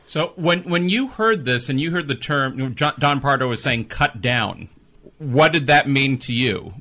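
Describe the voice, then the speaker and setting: A man's voice: mid-range voice, lively intonation, unhurried, noisy background. A man interviewing conversationally, probing and rephrasing his questions as he goes.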